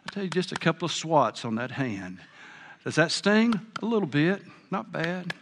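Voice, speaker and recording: A man's voice: on the high side, animated, moderate pace, fairly bright tone, slight background noise. An older man preaching a sermon, earnest and folksy, with a big voice. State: a bit hard of hearing. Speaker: pastor.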